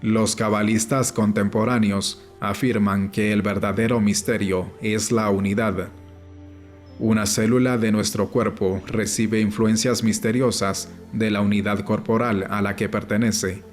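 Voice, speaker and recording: A man reads a nonfiction audiobook in a lowish voice with very little rise and fall. His delivery is formal and measured, and music plays behind him. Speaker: audiobook narrator. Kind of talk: scripted audiobook narration of a nonfiction book.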